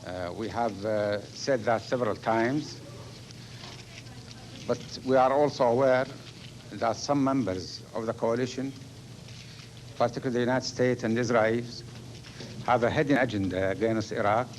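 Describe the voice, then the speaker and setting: A man speaking as a diplomat, making a formal statement in a deliberate, halting way. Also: mid-range voice, a little hiss or hum. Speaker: diplomat, ambassador.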